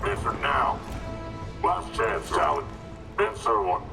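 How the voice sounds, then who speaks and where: A nasty game trooper barking threats, super gravelly, high and all over the place, music behind. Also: male voice, big pitch swings, slow pace, full character voice. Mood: menacing. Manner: barked threats.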